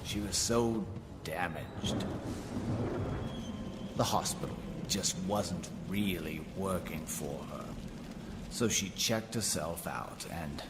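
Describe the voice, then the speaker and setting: Slow, low-voiced sinister contest master. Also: male voice, heavy background noise. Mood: quiet cruelty. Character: cool, menacing male contest master, taunting and theatrical. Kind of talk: scripted video game narration. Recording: loud music over the voice.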